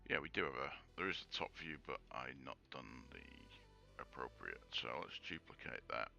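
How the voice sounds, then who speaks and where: A man with a croaky sore throat, thinking aloud through a casual 3D modelling tutorial with lots of ums. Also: a bass voice, big pitch swings, normal speed, sharp tone. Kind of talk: casual screen-recorded tutorial.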